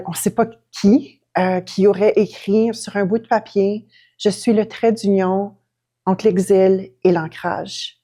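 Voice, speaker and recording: A woman's voice: medium pitch, mellow. A woman from a writing collective explaining where its name came from, hesitant and full of fillers. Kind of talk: explanatory storytelling.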